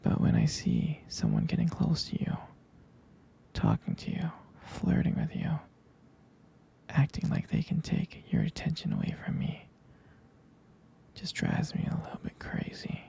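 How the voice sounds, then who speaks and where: A man playing a jealous, protective, devoted boyfriend in an intimate ASMR roleplay, speaking in a breathy, halting, tender whisper. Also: on the high side, huge pitch swings, warm tone, full character voice. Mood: jealous, hurt. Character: jealous, protective, devoted young boyfriend soothing his partner.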